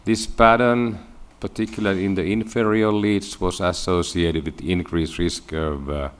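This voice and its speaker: A man from Finland presents research at a medical conference in a low-pitched voice. He has a Finnish accent and speaks haltingly, often restarting and repeating words as he explains. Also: steady tone, clear, resonant tone. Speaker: medical researcher giving a lecture.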